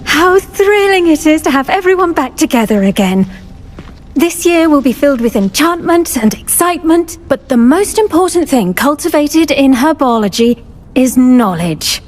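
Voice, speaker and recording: An actress playing a cheerful, flowery herbology professor, in scripted fantasy game dialogue. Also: female voice, high voice, lively intonation, moderate pace, slight background noise. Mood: cheerful, enchanted.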